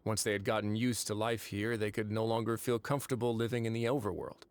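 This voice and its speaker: An actor playing a composed, wry young duke in a video game, speaking in a deliberate, measured storytelling manner. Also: male voice, lowish voice, little pitch movement, clean tone, close to an everyday voice. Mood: calm, measured. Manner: deliberate, dryly wry, unhurried. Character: composed, wry young duke who runs an underwater prison fortress.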